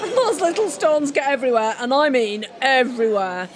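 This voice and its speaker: A woman's voice: high voice, animated, moderate pace, a crowd in the background, poor audio. A woman playing a bawdy, cheeky woman with many old flings, in a comic audio drama, earthy and teasing. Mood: bawdy indignant.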